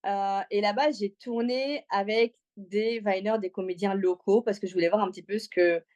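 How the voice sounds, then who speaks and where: A woman's voice: medium pitch, fairly even intonation, normal speed, darkish tone, dull audio. Casting: chatty female podcast guest, mid-range voice, casual and slangy delivery. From Congo, moved to Belgium aged six. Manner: talkative, informal, slangy. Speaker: interview guest, comedian. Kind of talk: casual personal storytelling in an interview.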